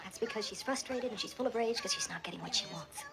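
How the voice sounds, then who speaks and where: An actress playing a forceful woman therapist in a film drama, insistent and badgering, with short repeated commands. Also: fairly high pitch, wildly swooping pitch, quick pace, full character voice. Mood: earnest, defensive. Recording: clear background noise.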